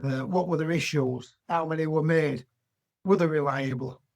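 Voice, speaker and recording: A man's voice: fairly high pitch, moderate pace, vocal fry, darkish tone. A middle-aged man with a Yorkshire accent, a farmer guest chatting in a down-to-earth, rambling way. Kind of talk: casual chat.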